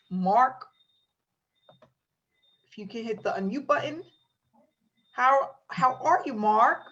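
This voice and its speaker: A woman hosting a motivational webinar, telling her story in an animated way and acting out the quotes. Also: medium pitch. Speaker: webinar host. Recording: lo-fi online call audio.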